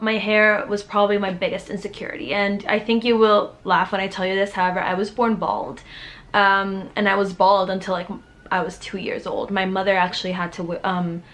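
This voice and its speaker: A woman's voice: mid-range voice, fairly bright tone. Young woman from the Dominican Republic, candid sit-down vlog, earnest, lots of fillers, filmed up close in a small bedroom. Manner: earnest and open, many fillers and restarts. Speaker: vlogger. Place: small bright bedroom, indoors.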